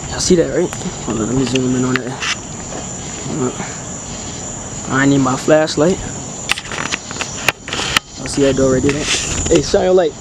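A young man vlogging with a handheld camera as he explores a dark abandoned building at night, talking in loose slang and dropping to whispers. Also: mid-range voice, unhurried, pauses often. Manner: slangy, excitable, often hushed to a whisper. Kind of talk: casual handheld vlog. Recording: noisy camera audio.

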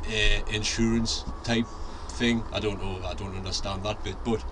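A man's voice: on the low side, steady tone, moderate pace. Chatty Northeast English man in a garden. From North East England. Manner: rambling, chatty, lots of ums and restarts. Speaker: allotment vlogger. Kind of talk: casual chat to camera. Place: outdoor allotment garden, then indoors in a shed. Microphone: handheld camera mic, then fixed camera. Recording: outdoor ambience with sirens and traffic. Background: outdoor ambience, traffic.

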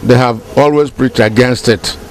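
A man speaks at an average pitch. He is giving his remarks in an interview. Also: steady tone, moderate pace, low-quality recording.

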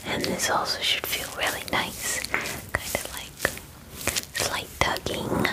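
A woman in her twenties whispering softly and soothingly in an ASMR personal-attention roleplay, murmuring reassurances close to the mic. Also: slow pace, low-quality recording. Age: 20s. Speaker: ASMR creator playing a spa groomer. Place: small dim room indoors.

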